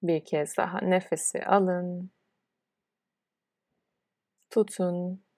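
A woman leading a guided relaxation as a yoga nidra instructor, speaking in a soothing, unhurried way with pauses between instructions. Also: fairly low pitch, steady tone, a little mellow, no echo, studio quality.

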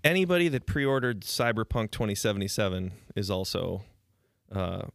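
A man's voice: on the low side, very animated, normal speed, gravelly, no echo, clean studio sound. A man co-hosting a podcast in casual chat, wry and joking, often laughing. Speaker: podcast co-host.

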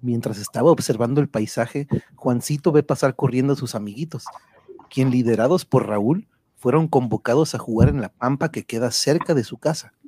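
Husky guy reading narration for a radio soap, middling pitch, a little halting. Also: mellow, dry recording.

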